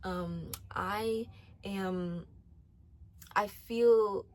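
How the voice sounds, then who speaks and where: A woman's voice: mid-range voice, fairly even intonation, extremely slow. A twenty-year-old woman giving casual faith-based advice, earnest, with many ums, restarts and repeated phrases. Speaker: faith vlogger.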